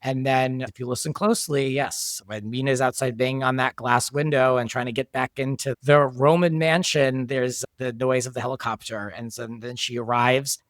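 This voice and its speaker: A man's voice: mid-range voice, steady tone, normal speed, hardly any pauses, fairly bright tone, dry recording, clean studio sound. A man who created the show, chatting casually and explaining his process step by step. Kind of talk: casual chat.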